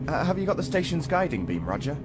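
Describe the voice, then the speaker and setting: A man's voice: on the high side, sing-song, quick pace. A man playing an earnest young space cadet at the controls in a dramatized science fiction audiobook. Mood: businesslike. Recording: music bed behind the voice.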